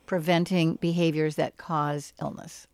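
A woman hosts a radio talk show and asks her guests encouraging questions, often stumbling and repeating words as she begins. Her voice sits a little low and sounds close and dry. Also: flat delivery, measured pace, a little mellow, dry recording. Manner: encouraging, repeats words when starting sentences.

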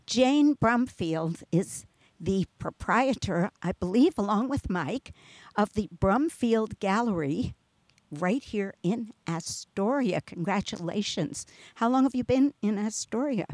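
Upbeat woman interviewer, unhurried delivery, dry close mic. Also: mid-range voice, animated, a little mellow, dry recording. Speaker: radio host. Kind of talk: casual radio interview.

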